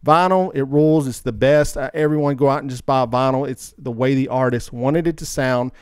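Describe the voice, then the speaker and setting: A man's voice: mid-range voice, fairly quick, clear, resonant tone, no echo, clean studio sound. A man hosting a casual podcast, bantering and debating in a colloquial, opinionated, teasing way. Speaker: podcast host.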